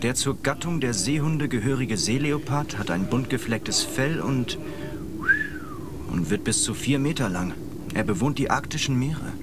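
A man's voice: mid-range voice, lively intonation, moderate pace, lightly acted. A man playing a practical, urging expedition comrade in a naturalistic radio drama, speaking colloquially. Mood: casual, reading aloud. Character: practical, urging male expedition comrade, protective of younger mate. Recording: loud outdoor ambience noise. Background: loud ambient noise.

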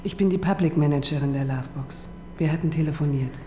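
An actress in a radio crime drama playing the smooth, suggestive woman who manages a dating site. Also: deep voice, fast, dark tone, a little hiss or hum, lightly acted.

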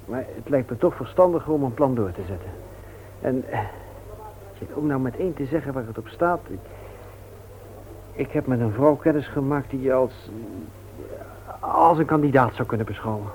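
An actor playing an earnest, reserved widowed father in a radio play, speaking in a hesitant, halting way. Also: medium pitch, a little mellow, lightly acted. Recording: tinny old radio recording with hiss.

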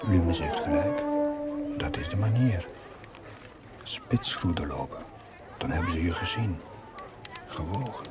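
An actor playing an anxious young priest in a brooding, halting inner monologue for a television drama. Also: male voice, deep voice, lively intonation. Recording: loud music and crowd noise behind. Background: music and crowd.

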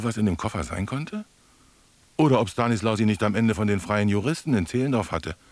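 An actor playing an ironic, reminiscing friend who narrates a literary radio drama with wry questions. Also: male voice, fairly low pitch, no echo.

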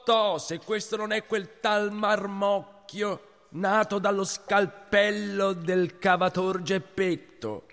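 A scheming swindler with a highish, sharp voice, drenched in long reverb. He speaks in florid, wheedling, theatrical phrases, like a sly rogue in a radio play. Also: exaggerated voice. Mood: mocking. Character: oily scheming swindler rogue preying on a child.

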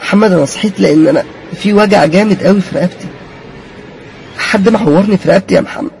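A man with an Egyptian accent voicing a frightened young woman who scolds and pleads in a shrill, hurried way while telling a ghost story. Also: gravelly, darkish tone, a little hiss or hum. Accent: Egyptian Arabic.

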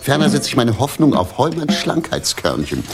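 A man's voice: mid-range voice, sing-song, normal speed, over loud music. A man acting a tipsy, cheeky working-class vagrant in a German radio drama, speaking in a theatrical, mocking manner.

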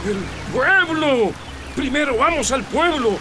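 A man's voice: very high voice, lively intonation, music in the background, exaggerated voice. An actor playing an urgent, commanding man racing to warn his village in a Central American radio drama, with a Central American Spanish accent. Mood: alarmed. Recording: very noisy, rain and rushing water.

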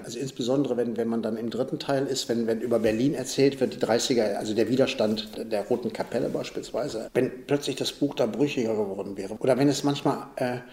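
A man of medium-low pitch talks reflectively in an interview, feeling his way through each thought with repeated words. The room around him is very echoey. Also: darkish tone. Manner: searching, repeats words as he thinks. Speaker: interviewee commenting on literature. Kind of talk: spontaneous reflective interview talk.

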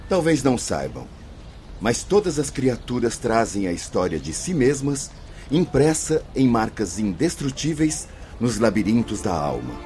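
An actor playing a learned, patient spirit mentor in a Brazilian radio drama, lecturing formally and solemnly. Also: male voice, medium pitch, sing-song, a little slow, a little mellow. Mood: solemn, revealing. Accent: Brazilian Portuguese.

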